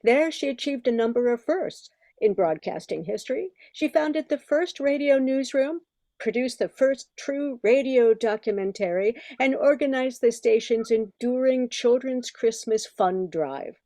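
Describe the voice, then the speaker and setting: A woman's voice: fairly high pitch, expressive, measured pace, warm tone, dry recording. An older woman reading scripted narration as the announcer of a radio show.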